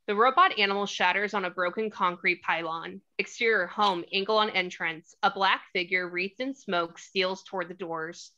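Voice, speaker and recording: A woman's voice: mid-range voice, fairly even intonation, normal speed, hardly any pauses, bright, forceful tone, dull audio. A woman reading stage directions as scripted narration at a table read.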